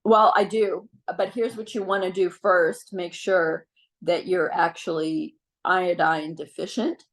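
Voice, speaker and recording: A middle-aged woman talks through wellness tips in a relaxed, chatty way, pausing on ums. Her voice sits a little low. She is heard over a video call. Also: low-quality recording. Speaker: wellness speaker. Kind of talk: casual advice talk on a video call.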